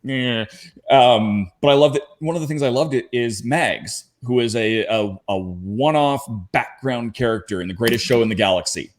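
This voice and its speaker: A man's voice: mid-range voice, moderate pace. Male panelist from Canada, mid-range voice, casual anecdotal fan talk.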